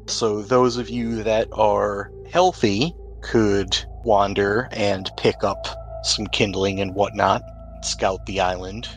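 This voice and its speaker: A man's voice: fairly low pitch, fairly bright tone, poor audio. A man speaking as game master, narrating a tabletop roleplaying game in a conversational way.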